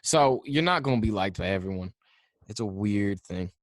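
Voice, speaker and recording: Young podcast host, low dry voice. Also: male voice, animated, no echo. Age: young adult. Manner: quick storytelling, offhand, mimics others in quotes. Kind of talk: casual chat.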